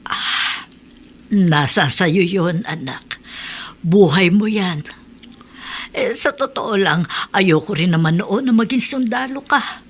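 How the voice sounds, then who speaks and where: An actress playing a gentle, concerned older mother of a soldier in a radio drama, with a husky, breathy voice. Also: mid-range voice, animated, slow pace, long pauses. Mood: worried, accepting. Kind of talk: Tagalog radio drama acting.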